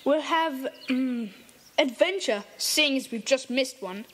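A decisive boy leader, high and lively. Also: takes it slowly, heavily acted. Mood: eager. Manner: decisive, takes charge. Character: confident boy leader of a kids' club.

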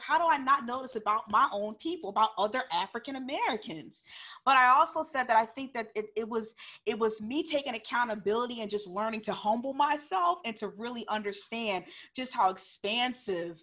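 A young woman tells a story on a panel, her voice fairly high and ringing bright. She talks in an animated way, restarting phrases as she goes. The sound is an earphone mic on a video call from her home. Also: fairly quick. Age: young adult. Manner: animated, gesturing, many restarts. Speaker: panelist author. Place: living room at home.

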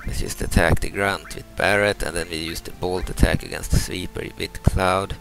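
A man in his thirties from Sweden, a native Swedish speaker, giving casual commentary over a video game, halting and restarting, explaining each move. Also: low voice, little pitch movement, gravelly, fairly bright tone, faint music behind. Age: 30s. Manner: halting, repeats words and restarts, explains moves step by step. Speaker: gameplay commentator. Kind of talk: casual let's-play commentary.

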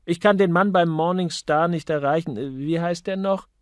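A jovial advertising boss from an audiobook crime novel, played by a male narrator. He banters breezily and teases his staff, with a slightly raised pitch and a dry, close sound. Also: normal speed, no echo, lightly acted. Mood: brisk, probing.

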